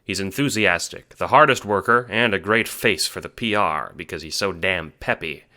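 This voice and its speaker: A man narrates an audio drama in a very low, bright and forceful voice. The delivery is scripted storytelling, addressed to the listener as the main character. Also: normal speed.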